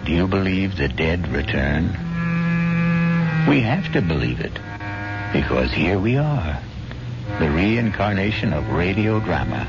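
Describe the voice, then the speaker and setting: A man hosting a 1970s mystery radio drama, narrating in a measured, theatrical, ominous way. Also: low voice. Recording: muffled old radio, loud music behind.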